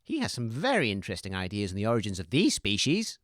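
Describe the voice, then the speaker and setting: A woman's voice: a bass voice, huge pitch swings, quick pace, dry recording, studio quality, full character voice. A woman playing a showy, eager debate host, announcing theatrically in a comedy audio show. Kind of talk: comedy audio sketch hosting.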